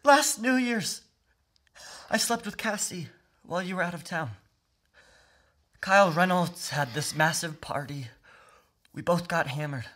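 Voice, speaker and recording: A man's voice: high voice, a little slow, pauses often, bright, forceful tone, exaggerated voice. Overwrought, guilt-ridden young man, acted by a man. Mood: guilty confession. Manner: pleading, stammering, overwrought. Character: guilt-ridden, frightened young man, anguished best friend. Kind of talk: emotional audio drama acting.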